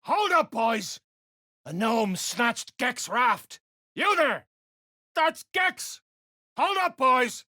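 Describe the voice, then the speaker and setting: Gruff bullying game dwarf grunt, voice jumps all over, super dry sound. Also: male voice, very high voice, sing-song, drawn-out words, pauses often, bright, forceful tone, no room sound, full character voice. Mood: barking, aggressive. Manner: coarse, barking, colloquial. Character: gruff male duergar soldier, coarse and bullying. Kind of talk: video game character voice lines.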